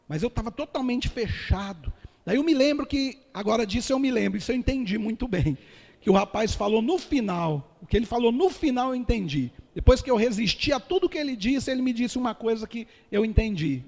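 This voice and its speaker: A man's voice: high voice, animated, a little fast, darkish tone. A man with a Brazilian Portuguese accent, a pastor giving an earnest testimony sermon and calling his listeners dear ones. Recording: long hall reverb.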